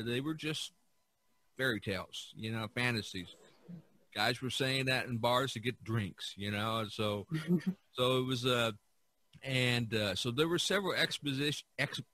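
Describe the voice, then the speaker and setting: A man's voice: mid-range voice, bright, forceful tone. A man hosting a podcast, telling stories about art history in a casual, enthusiastic way, often stumbling and restarting. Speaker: podcast host.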